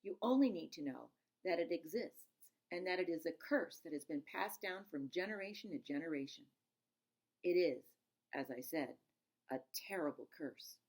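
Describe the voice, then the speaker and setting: A woman reading a storybook aloud in an expressive, emphatic narrating style. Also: low-pitched. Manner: dramatic, emphatic, stops to explain words. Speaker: storybook reader.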